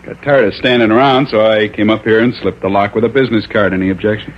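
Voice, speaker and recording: An actor playing a cocky, shifty suspect in a radio crime drama, slangy and defensive. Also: male voice, on the low side, steady tone, fast, natural voice. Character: cocky, shifty small-town young tough under suspicion. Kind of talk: old-time radio crime drama acting. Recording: muffled, noisy old radio broadcast.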